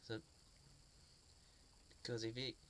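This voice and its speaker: An earnest man talks at a middle pitch, stopping and restarting his sentences often and repeating words as he searches for them. His tone is fond and sincere throughout. A faint hiss sits quietly behind his voice. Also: flat delivery, slow pace. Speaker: fan sharing memories. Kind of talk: casual spoken reminiscence.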